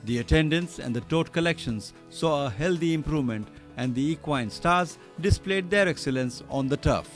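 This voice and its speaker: Higher male scripted narrator. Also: animated, moderate pace, hardly any pauses, soft background music. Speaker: programme narrator.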